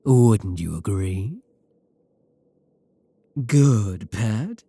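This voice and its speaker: Male actor as werewolf professor, slow and deliberate, dry close sound, possessive, coolly affectionate, predatory, intimate ASMR roleplay. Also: on the low side, expressive, dry recording, full character voice. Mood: possessive, adoring. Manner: slow, deliberate, soft chuckles, pet names.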